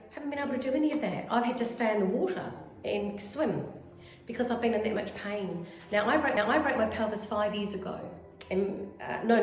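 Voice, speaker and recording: A woman's voice: medium pitch, a little mellow. A New Zealand woman in her fifties giving a rambling, effusive testimonial as a clinic client. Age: 50s. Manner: rambling, effusive, goes off on tangents. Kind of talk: casual testimonial interview. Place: clinic room. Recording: very echoey room.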